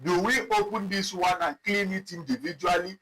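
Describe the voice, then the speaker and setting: A man teaching a live online spreadsheet lesson, talking slowly and repeating himself as he clicks through data. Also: sits high, bright, forceful tone, husky. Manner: slow, repetitive, thinking aloud while clicking. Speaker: instructor. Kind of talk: live online software tutorial.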